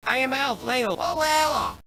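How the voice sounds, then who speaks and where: A megalomaniac djinn turned monster in a video game. The voice is bright and harshly forceful, shouting bombastic claims of power. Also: male voice, extremely high pitch, measured pace, slight background noise, heavily acted. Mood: triumphant mania. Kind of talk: dubbed video game cutscene dialogue.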